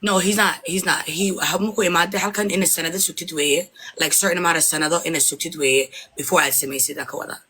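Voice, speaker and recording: A woman's voice: lowish voice, steady tone, fast talker, pushed and bright. A woman in her twenties, an interviewee from Somalia, talking hesitantly and switching between Somali and English mid-sentence. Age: 20s. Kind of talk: casual conversation.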